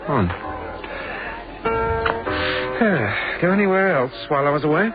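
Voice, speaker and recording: An actor playing a curt, controlling husband and factory boss in a radio drama, middle-aged, with a British accent and a clipped, dry delivery. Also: male voice, fairly high pitch, very animated, a little slow, music in the background, a crowd in the background. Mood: suspicious, cool. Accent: British English. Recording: dull, band-limited radio drama sound.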